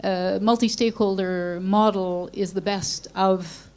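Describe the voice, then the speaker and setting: A woman's voice: mid-range voice, fairly even intonation, measured pace, darkish tone. A woman speaking as a panelist at a conference, thoughtful and lightly joking as she makes her point. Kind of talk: panel discussion remarks.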